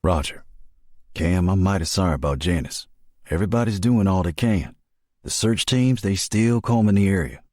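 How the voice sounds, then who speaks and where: Blunt, folksy navy flier for a drama, male actor: low-pitched, raspy, loyal to his friend. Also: moderate pace, mellow, no echo, clean studio sound. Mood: grave. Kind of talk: dramatic animatic film dialogue.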